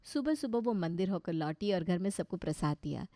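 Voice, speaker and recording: A woman's voice: lowish voice, lively intonation, fairly quick, dark tone, no room sound. Woman narrating a story, dry sound. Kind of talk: scripted narration.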